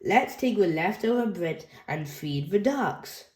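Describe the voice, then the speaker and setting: A woman voicing a calm, patient mother pig in a storybook, speaking gently and evenly. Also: medium pitch, a little slow, natural voice. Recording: echoey room.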